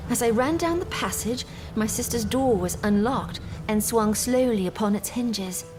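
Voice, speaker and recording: An actress playing a troubled young gentlewoman in an audio drama, telling her story in a measured, formal, earnest way. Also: on the high side, faint music behind, lightly acted. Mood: anguished, dramatic.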